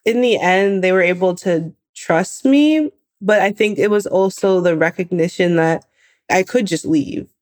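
A woman in her twenties from California, telling her own story in a lively, animated way. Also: fairly low pitch, a little slow. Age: 20s. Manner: lively, animated retelling with laughs. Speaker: guest. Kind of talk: personal storytelling.

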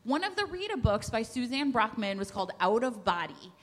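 High-voiced woman in an echoey hall. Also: very animated, fairly quick, fairly bright tone. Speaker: podcast co-host on a panel. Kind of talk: casual live book recommendations. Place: large room with a live audience, indoors. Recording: echoey hall with long reverb.

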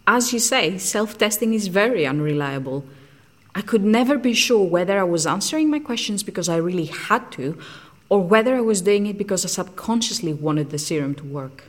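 An actress playing a guarded, earnest scientist in an audio drama, speaking precisely and dryly. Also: female voice, mid-range voice, lively intonation, a little fast, natural voice. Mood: confiding, dry. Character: guarded female scientist secretly making truth serum.

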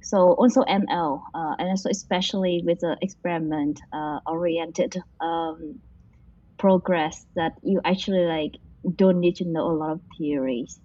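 A woman's voice: fairly low pitch, fairly even intonation, normal speed, warm tone, dry recording. A woman from Vietnam, an interview guest working in machine learning, answering casually and hesitantly, with many fillers and restarts. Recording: dull muffled lo-fi audio.

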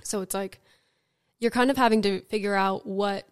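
A young woman from California talks through her thoughts on a podcast, her voice smooth and resonant at an ordinary middle pitch. She circles back on herself and leans on "like" as she works things out aloud. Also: fairly even intonation, moderate pace. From California, Bay Area. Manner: reflective, many "like" fillers. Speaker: podcast guest. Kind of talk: casual podcast conversation.

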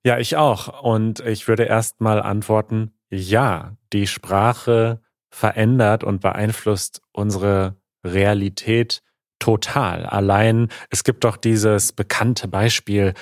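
A male podcast co-host with a somewhat low voice, chatting casually and sounding enthusiastic, often breaking into laughs. The sound is dry and close to the mic. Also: steady tone, slow, no echo.

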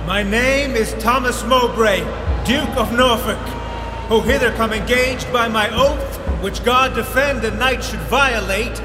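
A defiant noble knight calls out formal verse slowly at a very high pitch. Long reverb surrounds him, in a radio Shakespeare production. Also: male voice. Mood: proud, defiant. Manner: slow ceremonial declamation. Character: proud knight duke, defiant and honour-bound. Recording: echoey hall with loud reverb. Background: music.

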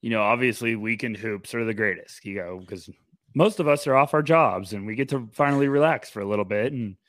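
A man co-hosting a casual sports podcast, talking in an energetic, animated way and rambling through restarts. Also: lowish voice, a little fast, dry recording. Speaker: podcast co-host.

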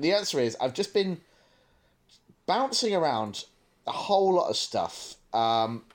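A man co-hosting a podcast, chatting casually and discursively, thinking aloud with hesitant asides. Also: mid-range voice. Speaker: podcast co-host.

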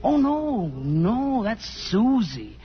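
Tipsy, stammering young American corporal. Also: male voice, high-pitched, sing-song, extremely slow, exaggerated voice. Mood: tipsy, torn. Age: young adult. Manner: hesitant, stammering, rambling. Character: lonesome, love-torn, tipsy young American army corporal. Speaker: radio actor. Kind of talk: 1950s radio drama acting. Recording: muffled vintage radio broadcast with music.

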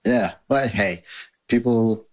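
A man's voice: lowish voice, slow pace. A man with a New York accent hosting a podcast, chatty, with plenty of "you know" fillers. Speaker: podcast host.

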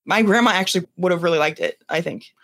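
A woman's voice: fairly low pitch, quick pace, a bit bright, no room sound, clean studio sound. A woman co-hosting a podcast in casual chat, speaking informally. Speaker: podcast co-host.

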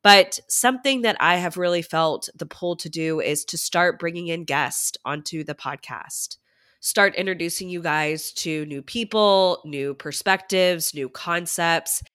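A woman's voice: fairly low pitch, a little slow, fairly bright tone, clear, resonant tone. A woman money coach hosting a podcast, sounding stuffy from a head cold and talking with chatty enthusiasm to her listeners. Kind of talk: upbeat podcast intro monologue.

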